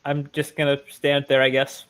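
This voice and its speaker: A man's voice: mid-range voice, completely flat delivery, moderate pace, a bit bright, clear, resonant tone. A man chatting casually as a player in an online tabletop game.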